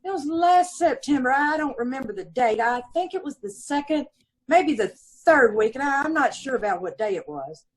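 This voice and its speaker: A woman doing a husky, aggrieved landlady. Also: sits high, expressive, moderate pace, poor audio. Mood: indignant. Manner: folksy ungrammatical talk, indignant and self-pitying. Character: aggrieved gossipy landlady, middle-aged mother of five. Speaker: witness in radio police drama. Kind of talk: old-time radio acting.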